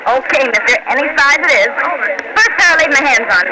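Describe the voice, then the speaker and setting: An actress playing a brassy, slangy shop clerk and crook's accomplice in 1940s radio style. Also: female voice, high voice, lively intonation. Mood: brisk, bored. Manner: slangy, brisk, wisecracking. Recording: very noisy old radio broadcast recording.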